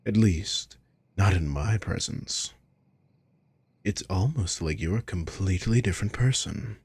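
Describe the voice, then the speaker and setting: A man playing a sadistic, controlling villain in an ASMR roleplay, breathy and husky, speaking with slow, condescending, taunting deliberation. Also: low-pitched, darkish tone, no room sound, heavily acted. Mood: condescending, controlled. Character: sadistic, controlling male villain toying with a captive.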